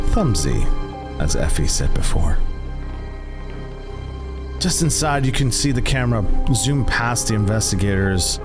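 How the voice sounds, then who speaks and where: Slow, low-voiced male game master. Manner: deliberate, dramatic phrasing. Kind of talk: cinematic scene narration for a tabletop game. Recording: loud music behind the voice.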